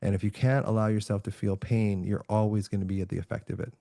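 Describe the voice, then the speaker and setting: A middle-aged man from Canada talks in a calm, reflective, soothing way as a podcast guest. His voice sits low with a gravelly edge, and the sound is dry and close. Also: quick pace, no echo. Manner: calm, reflective, soft-spoken with frequent restarts. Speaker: podcast guest, author and neuroscientist. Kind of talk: relaxed remote podcast interview.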